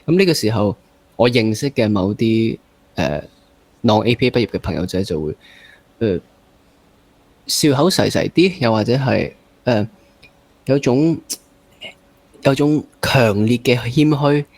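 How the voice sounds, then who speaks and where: A man's voice: mid-range voice, lively intonation, unhurried, pauses often, a little mellow. Young Hong Kong Cantonese man hosting. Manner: mixes English words into speech. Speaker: podcast host. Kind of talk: conversational interview.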